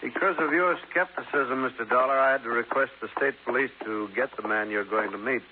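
An actor playing a guarded, courteous but firm American sheriff in 1950s radio drama, speaking formally and deliberately. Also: male voice, medium pitch, natural voice. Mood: insistent explaining. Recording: noisy, narrow-band 1950s radio recording.

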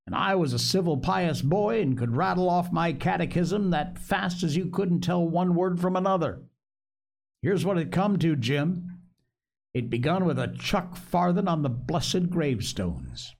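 A man's voice: a little high, animated, a little slow, creaky, darkish tone, voice pushed up, heavily acted. A man voicing a half-crazed marooned sailor in an audiobook, sly, childishly eager and talkative, with rustic, ungrammatical speech and repeated words. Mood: rambling, pious.

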